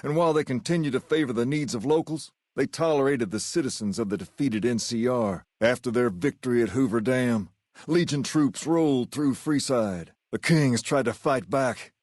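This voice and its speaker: An actor playing a solemn storyteller who narrates video game epilogues in a measured, scripted way. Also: male voice, mid-range voice, darkish tone, no room sound, studio quality, lightly acted. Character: male storyteller of a post-apocalyptic game's epilogues.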